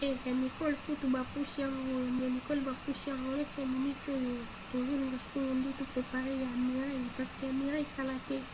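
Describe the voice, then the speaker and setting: A woman's voice: high voice, completely flat delivery, a little fast, hardly any pauses, background noise, close to an everyday voice. A woman with a Congolese accent playing a talkative woman in a cartoon. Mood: insistent. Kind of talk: animated cartoon dialogue in Lingala and French.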